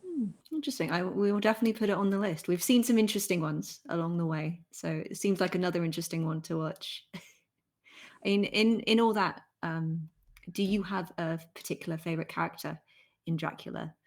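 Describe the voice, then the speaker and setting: A woman's voice: fairly low pitch, brisk. A woman interviewer chatting casually with her guest about creative work.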